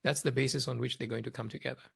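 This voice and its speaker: A man giving conversational political commentary as an interview guest, in a hesitant, halting way. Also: mid-range voice, steady tone, creaky, a bit bright.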